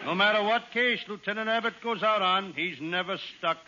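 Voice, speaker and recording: An actor playing a wisecracking hard-boiled private eye, delivering comic lines deadpan in 1940s radio style. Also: male voice, sits high, normal speed. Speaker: comic detective narrator. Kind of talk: 1940s radio comedy acting. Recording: dull old radio transcription with hiss.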